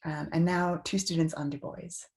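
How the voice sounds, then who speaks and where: A woman facilitating a session and introducing video clips in a measured, explanatory way. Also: sits low, little pitch movement, gravelly, darkish tone.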